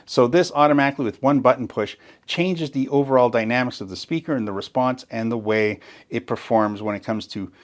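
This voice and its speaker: Middle-aged guy explaining speaker setup, excited, keeps saying stuff is very important. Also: mid-range voice, a little fast, hardly any pauses. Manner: enthusiastic, explanatory, repeats "very important". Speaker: gear demonstrator. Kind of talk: tutorial walkthrough.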